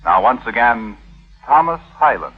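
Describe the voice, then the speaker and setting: A man's voice: medium pitch, very animated, a little slow. A man announcing a vintage radio program in a formal, declamatory style. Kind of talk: 1950s radio program introduction. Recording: tinny, band-limited old radio recording.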